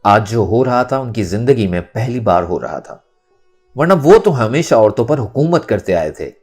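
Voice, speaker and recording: A male audiobook narrator with a low voice that moves freely up and down. He tells the story expressively and dramatically. Soft music plays behind him. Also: normal speed. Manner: expressive, acts out dialogue.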